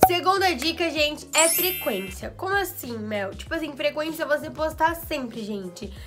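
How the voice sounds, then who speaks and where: A young woman vlogger, slightly hoarse from a cold, giving tips in chatty casual talk full of asides, filmed in her small bedroom studio. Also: sits high, expressive, loud music behind the voice. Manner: chatty, quick asides and fillers. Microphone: camera in front, head and shoulders framing.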